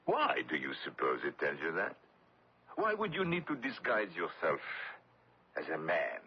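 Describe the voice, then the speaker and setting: An actor playing a sarcastic, commanding French collaborationist officer who menaces prisoners in a radio drama. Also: male voice, sits low, wildly swooping pitch, moderate pace, husky, heavily acted. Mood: sarcastic, menacing. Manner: commanding, sneering. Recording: dull lo-fi old radio recording.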